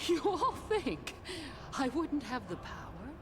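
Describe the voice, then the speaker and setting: A woman's voice: sits high, huge pitch swings, slow, noisy background, full character voice. A woman playing a mocking, unhinged villainess in a video game, taunting theatrically. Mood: mocking, gloating. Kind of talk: dramatic video game acting.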